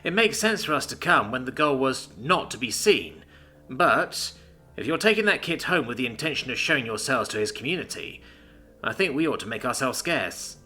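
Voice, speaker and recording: A calm, knowing alien woman, read by a man who speaks briskly and matter-of-factly. The voice is bright and forceful, with faint music under the storybook narration. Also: medium pitch.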